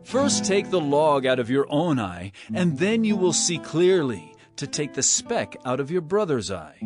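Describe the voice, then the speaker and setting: A man playing an earnest, solemn male teacher with authority in a dramatized scripture reading, speaking in a measured, emphatic way. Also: a little high. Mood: admonishing. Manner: measured, emphatic, rhetorical questioning. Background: music.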